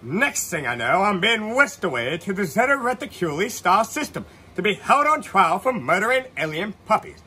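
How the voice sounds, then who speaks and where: A man's voice: sits high, sing-song, normal speed, few pauses, bright, forceful tone, slightly rough, full character voice. A man playing an earnest oddball who tells of alien encounters in a mock-serious, theatrical comedy monologue. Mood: dramatic storytelling.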